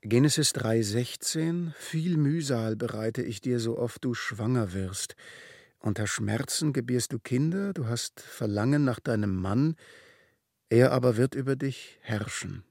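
A man's voice: medium pitch, vocal fry, darkish tone, dry recording, clean studio sound. A man playing a stern, portentous reader of scripture, reciting solemnly in a radio play. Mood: grave, solemn. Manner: slow, portentous.

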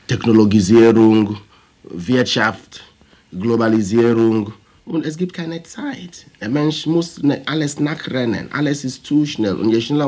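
A man's voice: lowish voice, darkish tone. A man from Nigeria with a Nigerian accent and Igbo as his first language, speaking German as a theology professor in a feature interview, reflective and searching for words. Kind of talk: recorded interview clip in a radio feature.

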